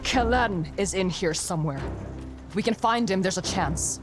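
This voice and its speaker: A woman's voice: medium pitch, normal speed, over music, natural voice. An actress playing a determined, grieving young woman warrior in a video game cutscene, speaking in a terse, urgent, guarded way.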